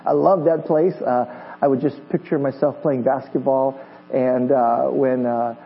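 A man's voice: mid-range voice, fairly even intonation, unhurried. A man in his fifties from Hawaii, a visiting pastor preaching a sermon in a friendly, joking way, with many fillers and restarts. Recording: dull lo-fi church recording with mains hum.

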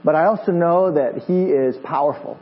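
A man's voice: a little high, normal speed. A man in his fifties from Hawaii, a visiting pastor preaching a sermon in a friendly, joking way, with many fillers and restarts. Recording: dull lo-fi church recording with mains hum.

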